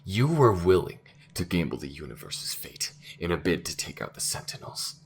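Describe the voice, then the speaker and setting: A man's voice: extremely low pitch, expressive, moderate pace, vocal fry, sharp tone, a little hiss or hum, exaggerated voice. A man playing a bitter, fiery male alien war veteran in a sci-fi audio roleplay, speaking bluntly. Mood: furious disbelief. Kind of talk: ASMR-style scripted sci-fi roleplay acting.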